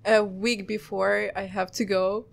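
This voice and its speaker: A woman's voice: medium pitch, flat delivery, a little slow, gravelly. Ukrainian-accented teen girl, simple phrasing. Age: teens. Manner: simple, non-native phrasing. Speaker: exchange student guest. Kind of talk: casual interview.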